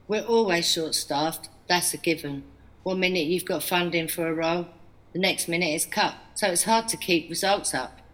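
A measured, earnest English prison manager voiced by an actress talks about staff and prisoners in an audio drama. Her voice sits fairly low and stays reasonable. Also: lightly acted. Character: woman prison staff member, earnest, defensive.

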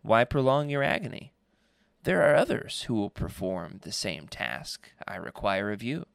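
A man's voice: lowish voice, big pitch swings, measured pace, vocal fry, no room sound, heavily acted. Male reader as evil queen, imperious, disdainful, hot-tempered, clean dry sound, storybook villain. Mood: cold, menacing. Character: haughty, furious evil queen, a frail woman.

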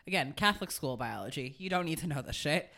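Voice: medium pitch, animated, fast talker, vocal fry, sharp tone. A person with a woman's voice chatting candidly and casually on a podcast as a co-host, swearing freely. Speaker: schoolteacher.

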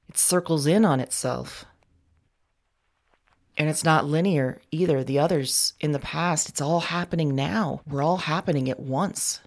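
A woman acting in an audio drama monologue as a weary, urgent woman, speaking in a halting, repetitive, intense way. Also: sits low. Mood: earnest, resigned. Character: weary, urgent woman speaking across time to other women. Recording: ambient music bed under the voice. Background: music.

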